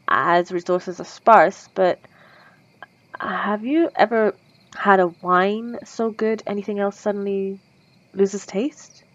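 A woman's voice: medium pitch, no echo, muffled recording, lightly acted. A woman voicing a polite, lovestruck female vampire in an ASMR roleplay, speaking formally and old-fashioned with nervous stammers.

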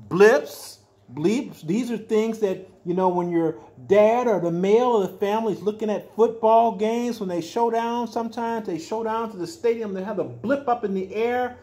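An older man reading a children's picture book aloud as a storyteller, adding simple explanations with drawn-out emphatic words. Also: high voice, darkish tone. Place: small furnished room at home, indoors.

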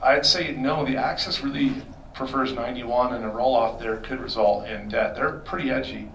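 A man's voice: medium pitch, steady tone, normal speed, fairly bright tone, slight background noise. A middle-aged man answering audience questions about engines in a conversational, explanatory way, speaking into a handheld mic in a hotel meeting room. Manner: explanatory, conversational, self-correcting. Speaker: performance shop expert. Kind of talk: technical Q&A talk. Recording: roomy sound from a distant camera.

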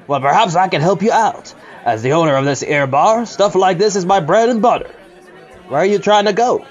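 Casting: man as helpful cartoon bar owner, high smooth-talking voice, crowd murmur. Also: a little fast, natural voice. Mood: obliging. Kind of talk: animated fan audio drama acting. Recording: dull sound, bar crowd behind.

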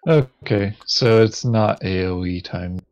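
A man with a fairly low voice talks casually through his moves in a tabletop game. He takes his time, and the recording is a little dull and lo-fi. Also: takes it slowly, darkish tone. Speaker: board game player.

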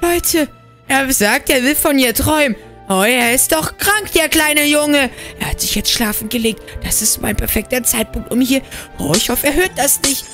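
A high, boyish voice of an excitable big brother in a game story, played by a woman. He exclaims nervously to his viewers and stumbles over words when flustered. Faint music plays behind. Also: normal speed. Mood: anxious whispering. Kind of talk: acted role-play game story for viewers.

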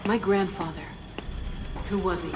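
A young woman soldier played by an actress, tough and determined, with a mid-pitched voice. She is blunt and guarded in a game cutscene, with music playing behind her. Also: steady tone, a little slow, natural voice. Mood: guarded questioning. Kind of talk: video game cutscene acting.

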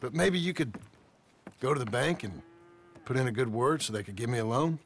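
An actor playing an earnest, humble American ranch hand in a western video game, speaking haltingly and deferentially in a gravelly voice. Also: male voice, medium pitch, expressive, a little hiss or hum, close to an everyday voice. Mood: earnest, hesitant. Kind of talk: video game cutscene acting.

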